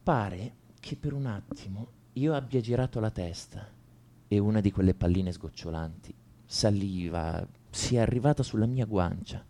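Un attore che interpreta un liceale tormentato e amareggiato, in un monologo confessionale di radiodramma, con enfasi riflessiva. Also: male voice, lowish voice, normal speed, dark tone, close to an everyday voice. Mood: grave, subdued. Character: brooding male high school student confessing to violence. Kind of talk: radio drama monologue, first-person narration.

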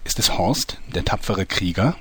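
A man's voice: low voice, lively intonation, moderate pace, loud music behind the voice. A man playing a theatrical, mock-suspenseful storyteller narrating a scripted parody soap. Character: storytelling narrator, a mock-dramatic fairy-tale uncle.

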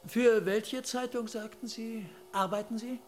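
An actor playing a talkative forensic pathologist in a radio drama, dryly clinical and slightly eccentric, explaining things in measured, savoring phrases. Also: male voice, high voice, fairly even intonation. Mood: brusque, dry. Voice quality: raspy, creaky. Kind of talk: German radio drama acting.

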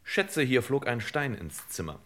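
An actor playing a shrewd, dry detective boss with clipped, swaggering deadpan delivery in an old crime radio play. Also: male voice, medium pitch, measured pace. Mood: dry, knowing. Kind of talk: old German radio crime parody acting. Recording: noisy vintage radio play recording.

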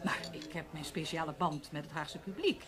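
A woman's voice: deep voice, moderate pace, lightly acted. An actress playing an eager, evasive woman journalist in a Dutch radio play, hesitant and flustered. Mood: defensive, evasive.

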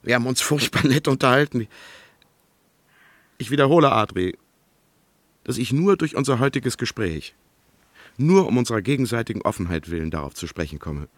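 A man's voice: medium pitch, lively intonation, moderate pace, long pauses, no echo, lightly acted. An actor in a German radio play, playing an amiable, earnest and hesitant man who confides in careful phrases. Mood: confiding.

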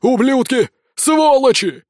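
A man's voice: high voice, animated, moderate pace, a little mellow, no echo, clean studio sound. A man voicing a stately, suspicious village elder in a fantasy audiobook, hostile and accusing as he rouses his people. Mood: enraged. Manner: accusing, rhetorical, rabble-rousing.

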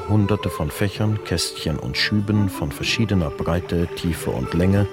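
A man's voice: low voice, close to an everyday voice. A man narrating a radio play in a formal, matter-of-fact manner.

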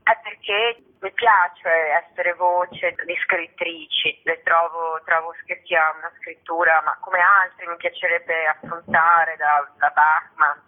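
A woman's voice: on the low side, steady tone, slow pace, few pauses. Female interview guest, theatre performer, phoned in on a tinny line; unscripted, discursive delivery, frequent rephrasing. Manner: discursive, often rephrasing mid-sentence. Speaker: guest theatre actress and author. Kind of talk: spontaneous radio interview talk. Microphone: telephone. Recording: tinny, narrow-band telephone line.

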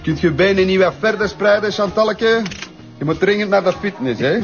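A man's voice: high-pitched, normal speed, muffled recording, over music. A man with a Flemish accent playing an irritable, sleazy glamour photographer in a TV crime drama. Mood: bossy.

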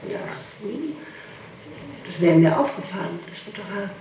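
A middle-aged woman answering questions in an interrogation, halting, repeating words and breaking off her sentences. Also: fairly low pitch, fairly even intonation, darkish tone. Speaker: person questioned in a murder investigation. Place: echoey room, away from the microphone. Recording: echoey, noisy, distant 1960s tape recording.

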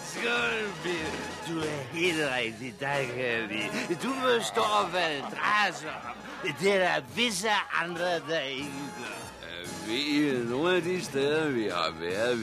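An actor playing a boorish, blustering, tipsy bully in a 1960s radio drama. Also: male voice, mid-range voice, lively intonation, close to an everyday voice. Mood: boozy, boastful. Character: boorish, boastful male bully drinking with his cronies. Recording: old radio play with loud music.

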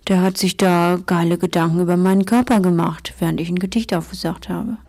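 An older woman recalling personal memories in a plain-spoken way, with hesitant pauses. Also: on the low side, steady tone, background noise. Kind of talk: personal recollection.

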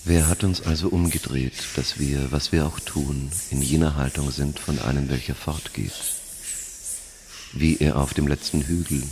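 A brooding man reciting melancholy verse in a radio play. His voice is rock-bottom low and gravelly, solemn and halting, with clear noise around it. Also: steady tone, a little mellow. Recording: clearly noisy ambient background.

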